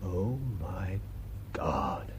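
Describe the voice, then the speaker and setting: A man's voice: deep voice, expressive, extremely slow, full character voice. A British man acting a puzzled, sceptical police inspector in a radio mystery, questioning and hesitant. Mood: puzzled, dawning. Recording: muffled radio recording with faint noise.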